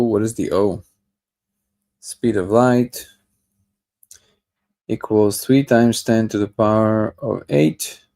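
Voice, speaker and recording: A man's voice: fairly low pitch, vocal fry, warm tone. A man hosting a daily livestream, chatting casually and greeting viewers by name. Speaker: livestream host. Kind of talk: casual live chat reading comments.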